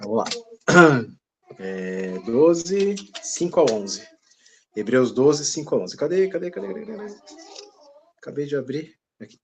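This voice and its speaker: A man's voice: fairly high pitch, sing-song, a little slow, long pauses, a bit bright. A man taking part in an online church study, reading a Bible passage aloud, stumbling and restarting words and apologizing for it, from a distance on a video call. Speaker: study participant reading scripture.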